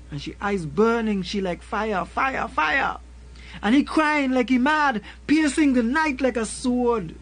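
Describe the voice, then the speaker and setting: An older man with a Caribbean accent recites a spoken word poem in a slow, rhythmic way, returning again and again to a refrain, at a desk mic in a small room. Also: extremely high pitch, a bit bright. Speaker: poet. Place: small room with a colorful backdrop.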